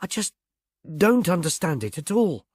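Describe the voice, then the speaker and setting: A man voicing a shy, bewildered young man with a halting, slightly stammering delivery in a mystery audiobook. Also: sits high, big pitch swings, a little mellow, breathy edge, dry recording, clean studio sound, voice pushed up, full character voice. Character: bashful, bewildered young man, haplessly miserable. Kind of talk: audiobook character voice, British mystery novel.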